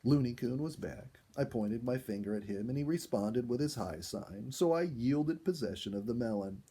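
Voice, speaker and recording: A man reading a children's story aloud as an expressive storyteller in scripted narration. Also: medium pitch, normal speed, husky.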